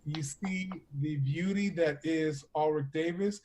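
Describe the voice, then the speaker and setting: A man's voice: fairly high pitch, a little slow, darkish tone, a little hiss or hum. A man hosting a casual interview, talking in an effusive, encouraging manner. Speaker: interview host.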